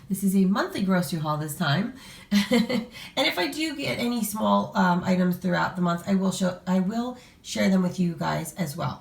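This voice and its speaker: A middle-aged woman with a hoarse voice from a cold, chatting casually to viewers with lots of fillers and restarts. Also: medium pitch, a little mellow. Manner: rambling, many fillers and restarts. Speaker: vlogger showing a grocery haul. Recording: echoey room, voice a bit far from mic.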